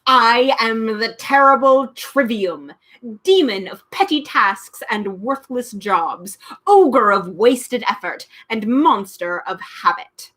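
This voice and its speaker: A woman voicing a sly male demon of petty tasks, talking slowly in a sour, menacing, coaxing way. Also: a little high, expressive, a bit bright, exaggerated voice.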